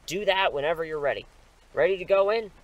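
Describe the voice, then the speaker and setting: A man's voice: high voice, quick pace, highs cut off, close to an everyday voice. A man playing a confident superhero mentor in an audio drama, with a dry, self-assured, instructive manner. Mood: brisk coaching.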